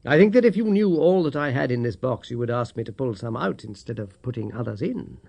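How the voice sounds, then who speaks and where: A man voicing a brisk, mischievous male detective in an audiobook, speaking in a teasing, lively way. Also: mid-range voice, gravelly.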